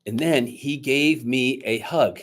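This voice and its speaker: A man reading a picture book aloud dramatically to children, pausing to coax them with questions like a teacher. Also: medium pitch. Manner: dramatic, teacherly, coaxing young listeners with questions.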